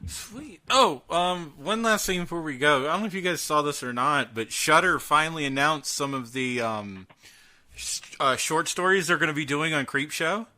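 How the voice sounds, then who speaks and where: A man with a fairly high, forceful voice hosts a casual podcast. His pitch swings around in an animated way as he jokes about himself and swears without holding back. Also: moderate pace. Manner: jokey, self-mocking, swears freely. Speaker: podcast host. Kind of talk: casual profane podcast chat about horror.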